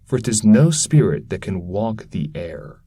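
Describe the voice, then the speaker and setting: A man voicing a calm, authoritative poet guide in a verse reading, explaining things solemnly and formally. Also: lowish voice, measured pace, husky, dry recording, full character voice. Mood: solemn, firm.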